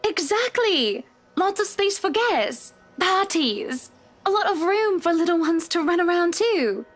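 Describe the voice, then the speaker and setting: A woman's voice: very high-pitched, lively intonation, measured pace, soft background music. A woman playing a bossy, genteel wealthy wife in a visual novel game, speaking in a prim, refined way. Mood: gracious.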